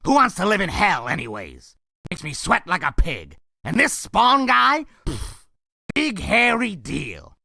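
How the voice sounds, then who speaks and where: Squat demon clown: raspy, drawn-out whine, swinging pitch, bratty sarcasm for a comic dub. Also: male voice, huge pitch swings, bright, forceful tone, dry recording, studio quality, full character voice. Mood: defiant, sneering. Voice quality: raspy, strained. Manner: whiny, sneering, drawling. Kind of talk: comic dub villain acting.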